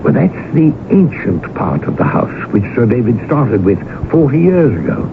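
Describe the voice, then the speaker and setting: A courtly old-school colonel, fussy and insinuating, with a creaky, lively voice. He hesitates like a practised spinner of tales in a radio play, through a tinny, noisy recording. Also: medium pitch, normal speed. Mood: ominous, confiding. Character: genial, fussy colonel, a compulsive tall-tale-telling husband. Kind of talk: 1980s radio drama acting.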